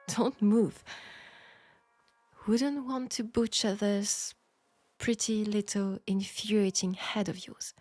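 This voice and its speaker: A woman with a French accent playing a proud, wry young Parisian seamstress in a scripted audio roleplay, speaking in a measured, pointed way. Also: medium pitch, fairly even intonation, darkish tone, no room sound, soft background music. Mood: teasing threat. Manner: measured, pointed, sardonic, with sighs.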